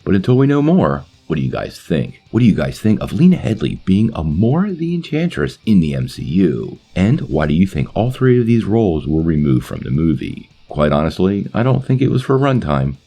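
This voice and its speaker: Male commentary host: low, creaky voice, animated delivery addressed to online viewers. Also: a little fast, few pauses, faint music behind. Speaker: host of a comic book movie channel.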